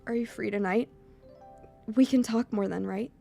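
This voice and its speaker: A woman's voice: mid-range voice, steady tone, normal speed, gravelly, warm tone, faint music behind. A woman voicing a devoted, supportive teenage boy in love, speaking sincerely and insistently in a visual novel. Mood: gentle.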